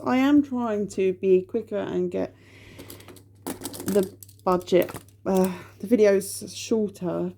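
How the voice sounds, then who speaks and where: A woman's voice: medium pitch, creaky, warm tone. A woman in her thirties from the UK, chatting to camera at home about her budget, sniffly and rambling with lots of ums. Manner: chatty, rambling, with many ums and self-corrections. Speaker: budgeting vlogger. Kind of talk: casual chat to camera while counting cash.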